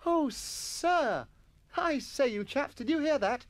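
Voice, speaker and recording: A man voicing an eager, earnest English schoolboy, over-polite and excitable, in a children's story reading. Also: high voice, a little fast, no room sound, voice pushed up. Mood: exaggerated delight.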